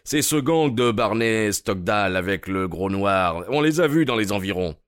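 A man's voice: on the low side, animated, normal speed, smooth, no room sound, clean studio sound, close to an everyday voice. A man voicing a bustling, pompous veteran police inspector in an audiobook, self-satisfied in tone. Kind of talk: audiobook character reading.

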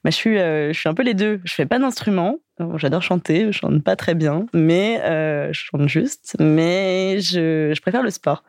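A woman's voice: on the low side, measured pace, few pauses, darkish tone, no room sound. Young woman, from France, podcast guest, hesitant, dry sound. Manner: hesitant, many fillers. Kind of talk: casual interview answers.

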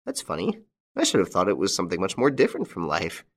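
A man narrating an audiobook and voicing a calm, mocking gentleman thief facing death. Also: sits low, quick pace, slightly rough, exaggerated voice. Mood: dry calm. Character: wry, unflappable gentleman thief, male adult.

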